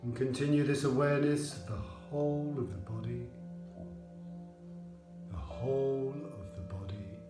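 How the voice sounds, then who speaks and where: A man leading a guided yoga relaxation as an instructor, speaking in a measured, soothing manner with pauses. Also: mid-range voice, steady tone, roomy sound, over music. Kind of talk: guided relaxation meditation narration.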